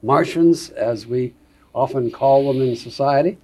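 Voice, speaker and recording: A man's voice: mid-range voice, measured pace, a little mellow, slightly rough, poor audio. A man interviewing a guest in a conversational interview, asking questions in a casual, musing tone.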